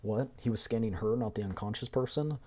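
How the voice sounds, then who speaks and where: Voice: medium pitch, fairly quick, darkish tone. A streamer doing a voiced readthrough of a game story, narrating the script and adding casual commentary.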